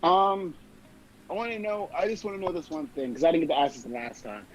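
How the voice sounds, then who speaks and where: A man calling into a livestream, chatting casually and haltingly, often restarting his sentences. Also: fairly high pitch, expressive. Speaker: call-in guest on a livestream. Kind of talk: casual chat. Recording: dull lo-fi voice call, music behind.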